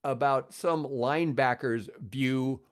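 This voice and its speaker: A man with a medium-pitched voice talks casually as a podcast guest. He states his opinions with emphasis, often stopping and repeating a phrase before pressing on. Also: slow.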